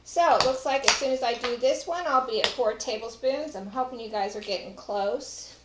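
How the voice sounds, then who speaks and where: A woman's voice: fairly high pitch, moderate pace, noisy background. A middle-aged woman with a Texas accent, chatting to viewers as she demonstrates a recipe in her home kitchen. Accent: Texan. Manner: chatty, talks to viewers while working. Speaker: cooking show host. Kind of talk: casual cooking demonstration. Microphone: far camera. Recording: echoey kitchen with clattering dishes.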